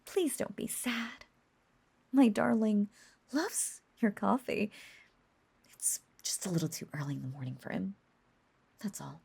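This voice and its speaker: A woman voicing an obsessive, possessive witch in an intimate roleplay audio drama, cooing and coaxing in soft, breathy half-whispers. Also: medium pitch, sing-song, a little slow, long pauses, heavily acted. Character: lovesick yandere witch, obsessive, possessive, sweetly unhinged.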